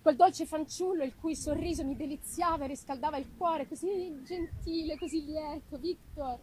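A woman giving an expressive, deliberate dramatic reading of literary prose, with weighty pauses. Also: high voice, slight background noise, rough recording. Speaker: reader of a classic novel. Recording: live musical accompaniment behind the voice. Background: live music.

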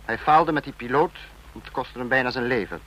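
An actor playing a cold, authoritarian state leader in an old radio play, speaking in a clipped, lecturing, imperious manner. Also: male voice, mid-range voice, a little fast, a bit bright, lightly acted, low-quality recording. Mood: curt, commanding. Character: cold authoritarian male supreme leader of a fictional police state.

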